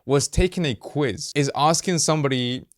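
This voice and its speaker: A man from Colombia whose first language is Spanish, hosting a casual explainer and breaking things down conversationally, with quick mock-voiced asides. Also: on the high side, no room sound, studio quality. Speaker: host, ad creative marketer. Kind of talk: casual explainer talk to camera.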